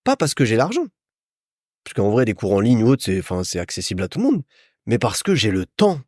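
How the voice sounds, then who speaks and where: Casting: young male podcast host from France, chatty and informal, animated intonation, dry studio-like sound. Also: on the low side, fairly quick, no room sound. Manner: chatty, informal, addresses listener as a friend. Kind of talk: casual solo podcast monologue, storytelling.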